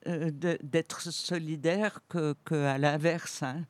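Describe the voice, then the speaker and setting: A woman's voice: sits low, steady tone, takes it slowly, dry recording. A woman of eighty, a guest on a radio interview, recalling her career thoughtfully with frequent hesitations and restarts. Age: 80s.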